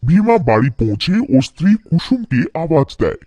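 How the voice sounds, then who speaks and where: Animated, mellow male storyteller. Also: fairly high pitch, fairly quick, no room sound, lo-fi sound. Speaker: narrator. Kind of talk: scripted children's folktale narration.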